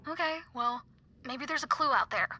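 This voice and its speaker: A woman voicing an excitable young true-crime podcaster in a video game, with a raspy voice and theatrical, breathless delivery. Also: high-pitched, normal speed, a little hiss or hum. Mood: eager, insistent. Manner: theatrical, breathless dramatic narration.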